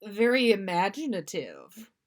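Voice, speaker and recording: A cheeky, coaxing boyfriend, played by a woman reading fan fiction aloud. The delivery is slow and teasing, with a playful, wheedling manner. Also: mid-range voice, huge pitch swings. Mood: awkward.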